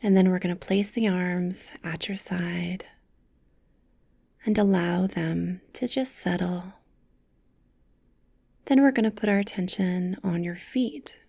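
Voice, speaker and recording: A woman leading a guided meditation with soft, gentle, soothing instructions, breathy and often close to a whisper. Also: fairly low pitch, fairly even intonation, slow pace, long pauses, no room sound.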